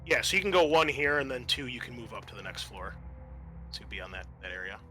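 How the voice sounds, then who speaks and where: Bright-voiced man as casual game master. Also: mid-range voice, lively intonation, quick pace, soft background music. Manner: casual, swears freely. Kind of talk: running a tabletop role-playing game.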